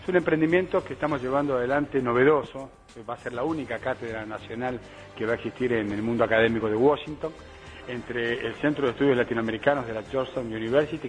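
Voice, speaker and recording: Fast-talking official man. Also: medium pitch, faint music behind, poor audio. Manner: official, fluent, formal. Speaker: ambassador interviewed in a news clip. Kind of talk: recorded statement for the news.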